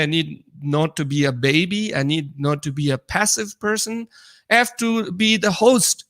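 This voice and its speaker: A man with a Pakistani accent talking casually as a podcast co-host, explaining things earnestly in halting non-native English. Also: fairly high pitch, pushed and bright. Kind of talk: casual personal conversation.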